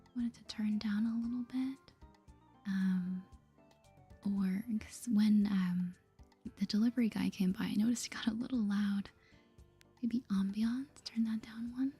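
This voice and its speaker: Breathy whispering woman, ASMR gaming. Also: medium pitch, steady tone, a little slow, pauses often. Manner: gentle, chatty. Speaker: gaming video host. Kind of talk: whispered soft-spoken ASMR game commentary, reading dialogue aloud. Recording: soft music behind the voice.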